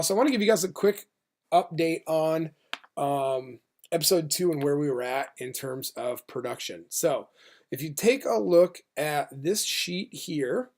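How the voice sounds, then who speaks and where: Rambling American man, slightly higher-pitched voice. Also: darkish tone. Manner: rambling, hesitant, informal. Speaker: animator giving production updates. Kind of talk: casual update vlog.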